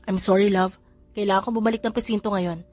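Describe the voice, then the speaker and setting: A woman voicing a caring young policeman who talks in a hurried, apologetic way. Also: medium pitch, steady tone, darkish tone, soft background music, close to an everyday voice.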